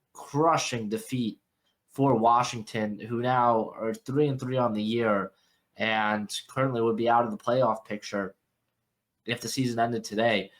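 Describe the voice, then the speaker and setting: A young man hosting a football podcast, congested from a cold, rambling through a casual game breakdown and often repeating and correcting himself. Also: medium pitch. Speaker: sports podcast host.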